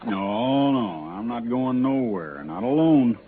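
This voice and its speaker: An American actor playing an uneasy, stubborn Old West cowboy in a 1950s western radio drama. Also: male voice, medium pitch, sing-song, slow pace. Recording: very noisy, muffled old radio recording.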